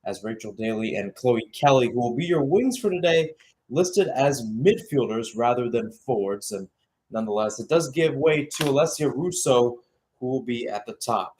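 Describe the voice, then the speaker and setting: A man's voice: mid-range voice, animated, moderate pace, darkish tone, a little hiss or hum. Young man calling soccer play-by-play. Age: young adult. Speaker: radio play-by-play commentator. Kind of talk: live soccer commentary.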